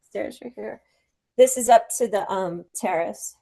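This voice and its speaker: A woman from New Jersey giving a casual home tour and interview, chatty and halting, laughing easily. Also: medium pitch, vocal fry, warm tone. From New Jersey, USA. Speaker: interview guest and expat homeowner.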